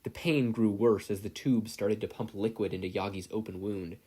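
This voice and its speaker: A man's voice: on the low side, steady tone, moderate pace, dark tone, breathy edge. A man reading scripted fiction aloud as a story narrator. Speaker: podfic reader.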